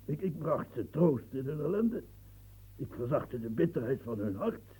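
An actor playing an aging fortress-building marshal in a radio play, declaiming grandly and stammering when moved. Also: male voice, medium pitch, animated, moderate pace, low-quality recording. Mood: brooding, declaiming. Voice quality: gravelly, dark and husky. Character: aging royal fortress engineer, proud, brooding. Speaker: military engineer marshal. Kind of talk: radio drama acting.

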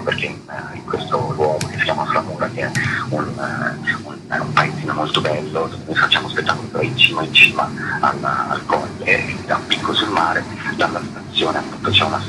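A man's voice: very deep voice, heavy background noise. A man being interviewed on the radio as a festival director, explaining in a hesitant way and repeating words as he goes. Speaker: festival artistic director, guest. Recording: music playing loudly under the voice.

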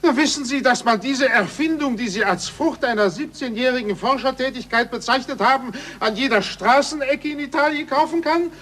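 A pompous, offended bureaucrat in a 1940s German radio play. His voice is extremely high for a man, and his delivery is huffy and full of disgust. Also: moderate pace, few pauses, a bit bright. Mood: indignant, disgusted. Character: indignant, offended male official, pompous and disgusted.

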